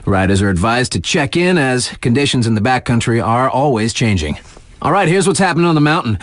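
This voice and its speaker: A man's voice: a little high, dry recording, clean studio sound, close to an everyday voice. An actor playing a laid-back, wry male radio DJ in a video game, talking in casual slang to hype up his listeners. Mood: upbeat, informative.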